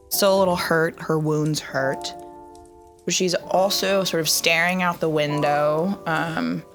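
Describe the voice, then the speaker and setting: A woman's voice: low-pitched, creaky, fairly bright tone. A young woman in a tabletop game, reflectively describing her character's thoughts with frequent fillers. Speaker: player.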